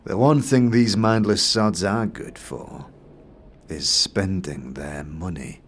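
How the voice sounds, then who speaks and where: A man voicing a disdainful, calculating moneylender in a fantasy game audio series, with a condescending, drawn-out and measured delivery. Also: sits low, full character voice. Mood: cynical. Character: disdainful, calculating male moneylender, smug and snobbish.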